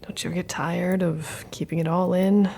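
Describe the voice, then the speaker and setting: A low, creaky, jaded survivor. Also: female voice, fairly even intonation, moderate pace, dark tone, slight background noise. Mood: defiant. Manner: wry, blunt, dismissive. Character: jaded, defiant woman surviving among monsters. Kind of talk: naturalistic audio drama acting.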